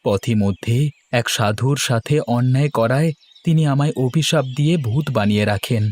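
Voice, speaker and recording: A man's voice: mid-range voice, normal speed, a little mellow, faint music behind, natural voice. A man voicing a courteous young prince in a cartoon, speaking in an earnest, formal way. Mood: earnest, grateful.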